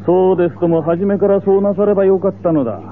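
An actor playing a proud, pompous middle-aged medical association president in a Japanese radio drama. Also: male voice, high voice, fast, a little hiss or hum, close to an everyday voice. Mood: self-assured, formal. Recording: tinny old radio recording.

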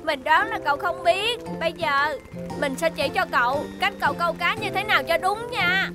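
Casting: a cheeky, self-assured cartoon kid, extremely high voice over music. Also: female voice, moderate pace. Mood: confident, helpful. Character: confident, cheeky, persuasive little child character. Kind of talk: Vietnamese cartoon dub.

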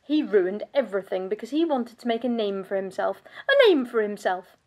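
An actress playing a bitter, world-weary aristocratic vampire countess in a theatrical monologue, pompous and sardonic in manner. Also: female voice, medium pitch, fairly quick, dark tone, lightly acted. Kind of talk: scripted dramatic monologue.

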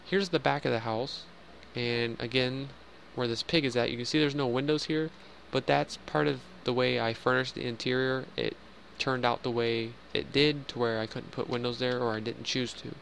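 Gravelly young man rambling over gameplay. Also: mid-range voice, fairly even intonation, moderate pace, hardly any pauses, a bit bright, a little hiss or hum, low-quality recording. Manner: rambling, self-joking, filler-heavy. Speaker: Minecraft builder giving a house tour.

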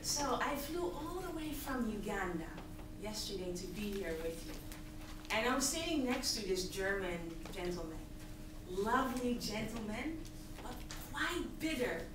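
A woman's voice: a little high, big pitch swings, measured pace. Earnest woman speaking in an echoey hall. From Tanzania. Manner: earnest, emphatic, gesturing at slides. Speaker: nonprofit founder giving a pitch. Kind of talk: prepared presentation speech. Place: large hall, on stage at a podium. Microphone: podium mic, recorded by a far audience camera. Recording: echoey hall from far off, hissy.

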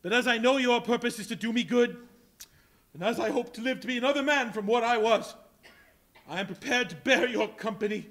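Older miser on stage played by a man, fearful and earnest, high voice. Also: brisk, a bit bright. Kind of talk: live stage play. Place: large hall with audience.

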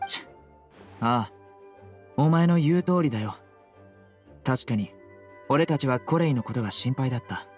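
A man voicing a stoic, proud young guardian in an anime game, terse, serious and deadpan. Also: medium pitch, normal speed, pauses often, soft background music, natural voice. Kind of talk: Japanese anime game voice acting.

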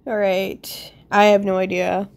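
A woman's voice: medium pitch, level tone, unhurried, clean tone. A woman with a stuffed-up nose casually thinks aloud over a puzzle game, a little self-deprecating. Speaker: player commenting on own gameplay.

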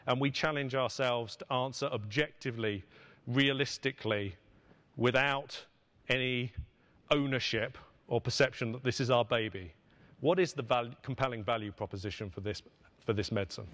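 A middle-aged man delivers a prepared conference keynote as an executive, phrasing deliberately with emphatic pauses. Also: medium pitch, fairly bright tone. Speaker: pharmaceutical finance executive giving a keynote. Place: on stage at a podium in a conference hall. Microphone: podium microphone.

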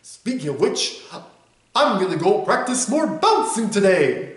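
A man's voice: high-pitched, sing-song, a little slow. A man voicing a bouncy, boastful male tiger with a chuckling, sing-song delivery. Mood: cheerful. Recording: roomy echo.

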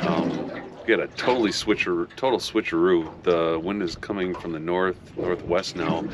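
Man in his fifties, very low voice, easygoing fishing-trip narration, recorded outdoors on a camera in a boat with clear lake and wind noise. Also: lo-fi sound. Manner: relaxed, matter-of-fact, upbeat when a fish bites. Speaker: fishing vlogger. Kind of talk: casual vlog narration. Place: small aluminum boat on an open lake, outdoors. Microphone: action camera mounted in the boat.

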